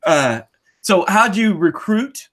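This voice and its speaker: A man's voice: high-pitched, lively intonation, slow pace, fairly bright tone. A man with a Southern US accent hosting an interview on a video call, folksy and jokey. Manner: folksy, jokey, laughs a lot. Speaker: interview host, video maker. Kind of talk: casual interview chat.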